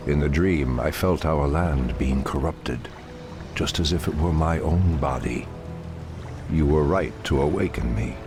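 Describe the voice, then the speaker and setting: Ancient elf druid leader, very deep, gravelly, solemn, commanding, fantasy game, music behind. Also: male voice. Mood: tender, solemn. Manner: slow, grave, archaic formal speech. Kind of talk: dramatic fantasy game acting. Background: loud music.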